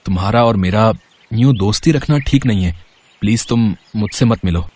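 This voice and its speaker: A man's voice: on the low side, normal speed, darkish tone, lightly acted. A man playing a humble, considerate young auto-rickshaw driver in an acted storybook dialogue. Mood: serious, pleading.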